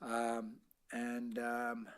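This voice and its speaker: A man's voice: medium pitch, completely flat delivery, drawn-out words, smooth. A man presenting budget figures at a committee meeting, explaining at length and checking in with "right?" after nearly every point. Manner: explanatory, tags lines with "right?". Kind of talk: meeting discussion.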